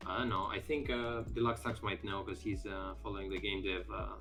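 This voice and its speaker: A man's voice: lowish voice, little pitch movement, fairly quick, a bit bright, faint music behind. Greek-accented man chatting on stream. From Greece. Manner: chatty, many fillers and restarts. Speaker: game developer streaming. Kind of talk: casual live-stream chat.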